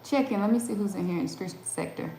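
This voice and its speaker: A woman's voice: mid-range voice, fast, dark tone. A middle-aged woman hosting a casual livestream, chatting and answering viewer comments, laughing often and restarting her sentences. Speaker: livestream host. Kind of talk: casual live chat reading viewer comments. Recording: roomy echo with faint background ambience.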